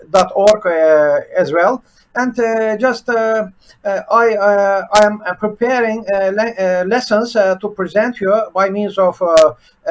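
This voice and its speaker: A man with a Turkish accent and a fairly high voice, hosting his own programming channel. He talks haltingly, filling almost every pause with "uh" while he shares his screen. A faint hiss sits under the recording. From Turkey. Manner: halting, with constant "uh" fillers between words. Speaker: programming teacher and channel host. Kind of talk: unscripted screen-share presentation.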